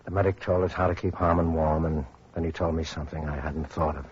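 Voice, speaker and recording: A man's voice: a bass voice, steady tone, quick pace, darkish tone, husky. An actor playing a sober, caring survivor who narrates his hardship plainly in an old-time radio drama. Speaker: narrator and character. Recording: dull vintage radio recording, faint music.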